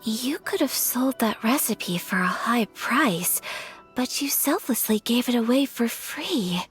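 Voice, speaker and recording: An actress voicing a polite, earnest young noblewoman in a video game, speaking formally and gently. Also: fairly high pitch, lively intonation, measured pace, faint music behind. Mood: sincere admiration.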